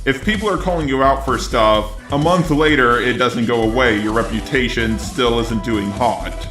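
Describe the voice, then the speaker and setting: Male robotic text-to-speech narrator for rebuttal commentary, average pitch, roomy echo, music underneath. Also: normal speed, fairly bright tone. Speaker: commentary video host. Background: background music.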